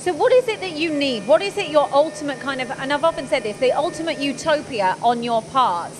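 A woman's voice: high voice, animated, fairly quick, noisy background. A woman in her thirties interviewing a workshop owner on a factory floor with a handheld mic, upbeat and asking leading questions. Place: machine workshop indoors.

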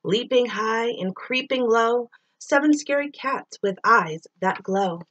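A middle-aged woman doing an expressive read-aloud of a rhyming children's story, drawing out words and sound effects like a teacher reading to pupils. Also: on the high side, slow pace, lo-fi sound.

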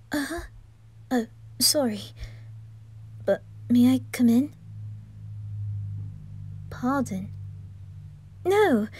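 A woman's voice: mellow, no room sound, heavily acted. A clingy young earl begs to be let in, voice lifted and eager, slipping into whispers, played by a woman. Close and dry. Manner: higher, pleading, breathless whispers. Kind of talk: fan ASMR roleplay audio drama.

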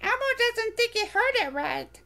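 A woman's voice: very high-pitched, expressive, measured pace, pushed and bright, voice pushed up, full character voice. A woman voicing a cheerful little monster boy who talks about himself in the third person, from a storybook reading. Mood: excited.

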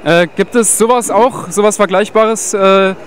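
A man reporting from a street protest, asking brisk interview questions. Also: sits high, a little hiss or hum. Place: outdoors at a protest.

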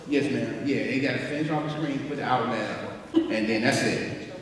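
A man's voice: medium pitch, normal speed, a crowd in the background. A man chatting casually, picked up from a distance inside an echoey theater. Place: indoor theater. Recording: echoey hall, distant from camera.

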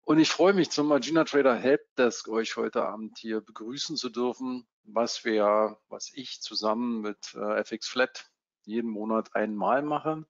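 A man in his fifties from Berlin, giving a casual live webinar as a trading software coach, with many fillers. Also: medium pitch, measured pace, highs cut off. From Germany (Berlin). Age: 50s. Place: home office.

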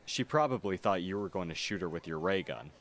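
A man's voice: deep voice, lively intonation, a little fast, fairly bright tone. A man playing a snarky, theatrical young goth art student in a comic ASMR roleplay.

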